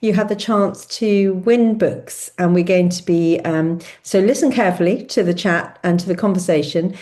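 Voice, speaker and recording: A woman's voice: lowish voice, normal speed. Woman hosting an online launch. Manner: warm-up host, hesitant with many ums. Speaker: host of a book launch. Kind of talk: hosting an online book event.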